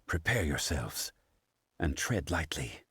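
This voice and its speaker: A man playing a composed, formal, wise gentleman with a grave, measured delivery in a video game dub. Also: a bass voice, big pitch swings, breathy edge, exaggerated voice. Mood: grave, steady. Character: Zhongli, composed, formal, wise adult gentleman of ancient bearing. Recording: music over game audio.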